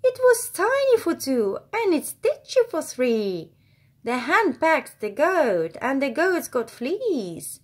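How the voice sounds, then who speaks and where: A woman voicing a fretful, dramatic little old lady in a rhyming children's storybook, complaining in drawn-out wails. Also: sits high, sing-song, heavily acted. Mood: distressed wailing. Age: older.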